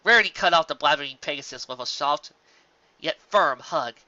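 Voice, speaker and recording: A narrator reads a fan fiction story aloud with a forceful edge and lively intonation. The recording is dry and a bit dull. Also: mid-range voice, fairly quick, dry recording.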